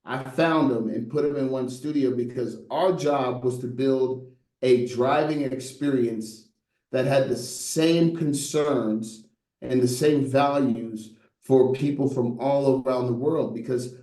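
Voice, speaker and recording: A man's voice: medium pitch, steady tone, slow, warm tone, roomy sound, rough recording. A middle-aged man in a casual interview, telling stories with lots of rhetorical "right?" asides and a playful tone. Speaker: guest, brand designer.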